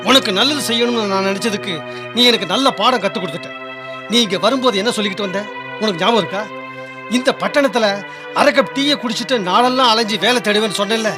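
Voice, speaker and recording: A man playing a loyal young working man in a radio drama. His voice sits high for a man, sincere and easily hurt. Music plays clearly behind him. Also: quick pace, lightly acted. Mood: angry, betrayed. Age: young adult. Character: loyal, earnest young working man, later bitterly let down. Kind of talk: Tamil radio drama acting.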